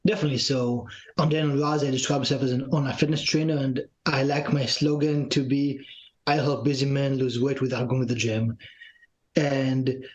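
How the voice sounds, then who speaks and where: A young man from Israel with an Israeli accent, a guest in a casual interview, relaxed and sprinkling in lots of fillers. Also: medium pitch, normal speed, rough recording. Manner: relaxed, uses many fillers like "like". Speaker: guest, fitness trainer.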